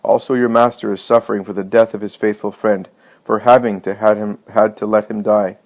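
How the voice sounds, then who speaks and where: A man's voice: lowish voice, level tone, fairly quick, darkish tone, close to an everyday voice. A man reading a compassionate holy teacher in a story, speaking with solemn authority and searching questions. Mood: firm consoling. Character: compassionate, commanding holy male teacher consoling mourners.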